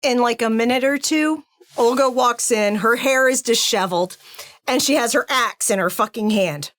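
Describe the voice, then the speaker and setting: A woman playing a tabletop roleplaying game, speaking slowly and deliberately and swearing casually. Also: on the high side, a bit bright. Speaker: player.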